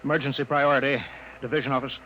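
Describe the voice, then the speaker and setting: A man's voice: mid-range voice, level tone, rapid-fire, highs cut off, very noisy, close to an everyday voice. Man playing a commanding chief engineer, old radio drama. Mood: urgent, commanding. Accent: American. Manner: authoritative, clipped orders. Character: commanding male chief engineer, brisk, hard-driving, older. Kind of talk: mid-century American radio drama acting. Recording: old radio broadcast with background sounds.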